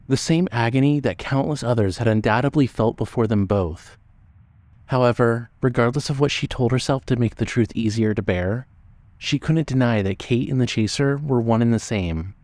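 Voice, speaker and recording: A man's voice: medium pitch, a little mellow, dry recording. A man narrating a scripted dramatic story as an audiobook-style narrator.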